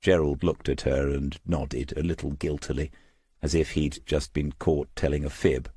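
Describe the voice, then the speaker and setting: A man reading a scripted crime novel as an audiobook narrator, in a measured storytelling manner. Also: very deep voice, little pitch movement, warm tone, slightly rough, no echo, clean studio sound.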